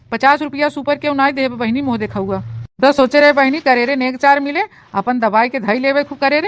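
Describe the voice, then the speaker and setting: A woman's voice: sits high, no room sound, close to an everyday voice. A woman voicing a scheming, grumbling young village bride with an eastern Uttar Pradesh accent in a comic cartoon. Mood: relieved, grumbling. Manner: melodramatic, grumbling. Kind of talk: comic cartoon story dialogue in rustic dialect.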